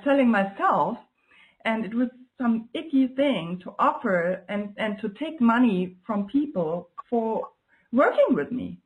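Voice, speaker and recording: A woman's voice: fairly high pitch, rough recording. A woman from Germany, a native German speaker with a German accent, speaks as an interview guest in a reflective, casual way, often searching for her words. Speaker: guest, client giving a testimonial.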